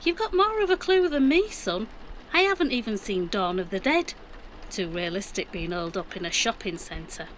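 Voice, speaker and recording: Lively-pitched defensive Yorkshire mum, played by a woman. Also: normal speed, slight background noise, natural voice, rough recording. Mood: exasperated. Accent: Yorkshire English. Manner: matter-of-fact, defensive. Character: middle-aged mum, defensive, ditzy yet practical. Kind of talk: naturalistic audio drama acting.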